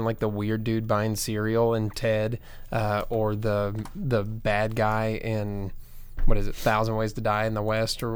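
A man's voice: on the low side, clean tone, no room sound. Laid-back man saying bro, dry sound. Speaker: podcast co-host. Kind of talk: casual chat.

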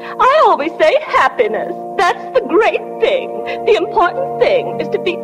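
An actress playing a sentimental, chattering small-town matron at a wedding in a 1940s radio drama. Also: female voice, very high-pitched, wildly swooping pitch, a little slow, big-room sound, exaggerated voice. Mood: gushing, teary. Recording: 1940 radio broadcast with music behind.

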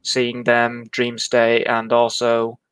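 A man, a panelist on a video call, speaking slowly and haltingly. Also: medium pitch, monotone, fairly bright tone, clear, resonant tone, dry recording. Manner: slow and halting. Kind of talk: online panel discussion.

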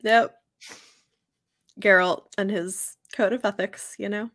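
A woman's voice: mid-range voice, fairly even intonation, takes it slowly, fairly bright tone, dry recording, clean studio sound. A woman co-hosting a book podcast, talking in casual, conversational chat. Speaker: podcast co-host discussing books.

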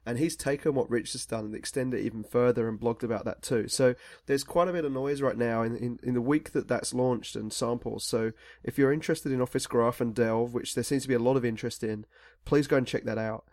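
A man's voice: medium pitch, fairly even intonation, fairly quick, a little mellow, no echo, studio quality. A man hosting a tech podcast, talking in a rambling, casual way with many fillers and restarts. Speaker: podcast host.

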